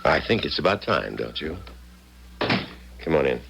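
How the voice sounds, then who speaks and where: A blunt, weary doctor in a 1970s radio drama. His voice is extremely deep and gravelly, and he answers drily and curtly. The old broadcast recording carries faint noise. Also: sing-song, unhurried, heavily acted. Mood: resigned. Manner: dry, curt, world-weary. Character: weary, blunt, stubborn doctor, devoted husband.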